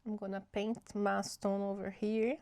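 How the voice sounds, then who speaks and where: A young woman talks slowly through a relaxed painting tutorial, her voice nasal from a cold and edged with vocal fry. She thinks aloud in a chatty, easygoing way. The recording is close and dry. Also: mid-range voice, no room sound. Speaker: art channel host. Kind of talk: casual watercolor swatching tutorial.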